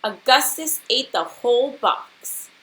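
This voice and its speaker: A woman teacher reading a picture book aloud to children and pausing to prompt them with questions, with hiss on the recording. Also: on the high side, animated, fairly bright tone, poor audio. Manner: instructional, pausing to prompt young listeners.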